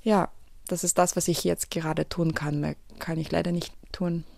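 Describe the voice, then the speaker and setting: A woman's voice: fairly low pitch, flat delivery, creaky, darkish tone, no room sound. Earnest young woman. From western Ukraine. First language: Ukrainian. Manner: earnest, halting, with fillers. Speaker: guest. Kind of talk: radio interview.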